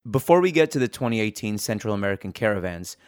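A man's voice: lowish voice, very animated, quick pace, background noise. A man reporting and hosting in a scripted narration style. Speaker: podcast host and reporter. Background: music bed.

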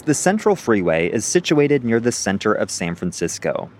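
A man's voice: lowish voice, slight background noise. A male reporter narrating a scripted radio feature, partly recorded outdoors in a city park.

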